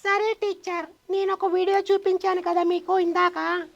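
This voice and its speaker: Puppet show kid: woman playing cheeky, naive little boy, extremely high creaky squeak, pestering questions, muffled lo-fi sound. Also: little pitch movement, normal speed.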